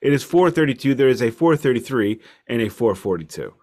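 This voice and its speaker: A man's voice: medium pitch, animated, a little fast, darkish tone. A man co-hosting a casual music discussion, talking in a wry, emphatic and discursive way. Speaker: album review podcast co-host.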